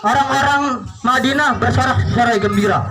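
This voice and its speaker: A man telling religious stories to children in an animated, interactive way, asking questions and repeating phrases. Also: very high voice, moderate pace, soft background music, rough recording. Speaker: children's storyteller.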